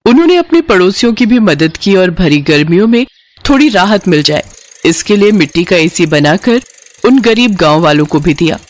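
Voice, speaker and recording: A woman's voice: lowish voice, lively intonation, normal speed. A woman narrating a scripted children's moral story.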